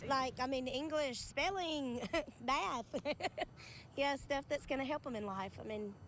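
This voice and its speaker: High-pitched American woman, casual interview. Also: measured pace, fairly bright tone, a little hiss or hum. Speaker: interviewed member of the public. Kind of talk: casual interview sound bite.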